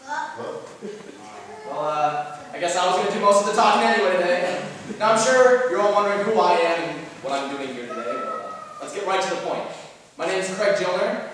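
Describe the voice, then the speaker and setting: A young man performing a dramatic monologue as an anxious twenty-year-old looking back on depression, halting and earnest, with theatrical swings in volume. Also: sits high, expressive, pitched above the performer's own voice. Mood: nervous, earnest. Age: young adult. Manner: halting, earnest, swinging from quiet to loud outbursts. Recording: very echoey hall from far off, noisy.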